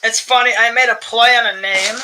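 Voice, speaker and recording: A young man with a higher voice for a man chats casually while sorting his coin collection, amused at his own stories and often clearing his throat. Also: steady tone, normal speed, heavy background noise. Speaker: coin collecting channel host. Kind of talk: casual rambling vlog chat while sorting coins.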